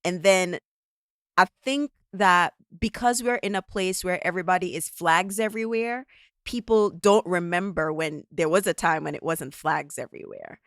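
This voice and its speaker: A woman hosts a podcast in a chatty way, thinking aloud as she goes. Her voice is low-pitched, and the recording is dry and close. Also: normal speed, no room sound. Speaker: podcast host. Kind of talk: podcast interview.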